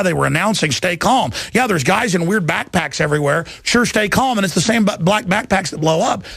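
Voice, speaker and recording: Very gravelly, agitated male talk radio host. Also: on the high side, fairly bright tone, no echo. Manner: agitated, rambling, emphatic, with repeated words. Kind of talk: impassioned unscripted talk radio rant. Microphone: close studio broadcast microphone.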